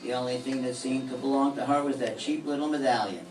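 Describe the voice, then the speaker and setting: A hard-boiled private eye, played by an older man in an old-time radio drama, dry and sardonic. His voice sits mid-pitched and comes from across a reverberant room, with a faint hiss underneath. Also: fairly even intonation, fast talker, distant mic, soft background music, natural voice. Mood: narrating. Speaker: amateur radio play performer. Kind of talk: 1940s radio acting.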